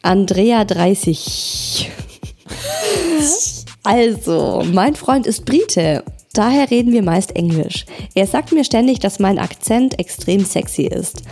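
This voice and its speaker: Woman on a podcast reading listener messages, gravelly low rasp, soft music underneath. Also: animated, takes it slowly. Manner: reads listener messages aloud, chatty asides. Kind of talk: casual podcast chat.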